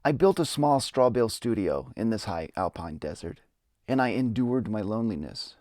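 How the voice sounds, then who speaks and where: A man's voice: lowish voice, sing-song, moderate pace, a little mellow, no room sound, studio quality. A man giving a reflective, earnest dramatic reading of his own memoir. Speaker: memoirist narrator. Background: music.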